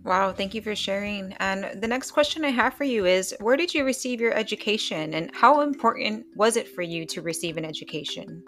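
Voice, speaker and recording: A woman's voice: medium pitch, animated, fairly quick, few pauses, a bit bright, faint music behind. Enthusiastic podcast host, a woman. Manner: enthusiastic, welcoming. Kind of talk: upbeat podcast hosting.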